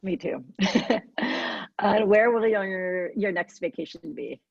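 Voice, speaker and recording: A woman guest host who writes and edits, asking quick, friendly interview questions. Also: mid-range voice, expressive, gravelly, no room sound. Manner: friendly and brisk, with light laughs. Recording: dull, dry lo-fi audio.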